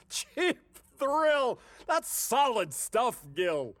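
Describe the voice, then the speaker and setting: An actor playing a slick, two-faced, breezily dismissive office boss in a comedic audio drama, full of false encouragement. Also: male voice, very high voice, very animated, extremely slow, fairly bright tone, dry recording, heavily acted. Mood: glib praise.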